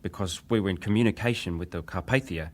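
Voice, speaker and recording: An actor playing a ship's wireless operator, a respectful but defensive witness giving clipped testimony in a dramatised hearing. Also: male voice, deep voice, quick pace, darkish tone. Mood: defensive, firm. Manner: clipped, respectful, matter-of-fact.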